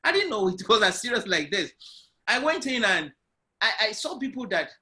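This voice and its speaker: High, bright-voiced man. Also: moderate pace. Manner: animated storyteller, loud voice. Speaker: guest entrepreneur. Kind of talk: online interview, personal story. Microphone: video call.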